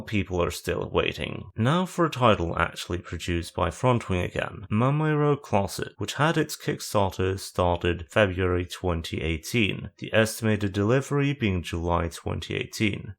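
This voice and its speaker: Dry, sarcastic man narrating commentary. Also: on the low side. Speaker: video essayist.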